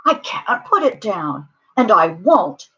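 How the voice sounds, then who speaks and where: A woman voicing a big, gentle, protective male storybook elephant, speaking earnestly and emphatically. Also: medium pitch, sing-song, slow pace, lo-fi sound, exaggerated voice. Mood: worried, determined.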